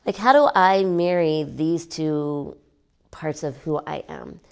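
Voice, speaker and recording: A woman artist talking about her work in an interview, animated and emphatic, repeating words for effect. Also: sits low, slow, creaky, clear, resonant tone. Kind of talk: gallery interview.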